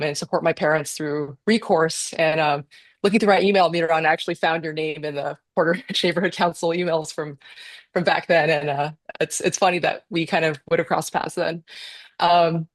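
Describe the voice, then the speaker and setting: An American woman speaks as a candidate at a political forum. Her voice sits on the low side for a woman, and the sound is dry with little room around it. She delivers a campaign speech about herself and her positions. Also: no room sound. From United States. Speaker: congressional candidate.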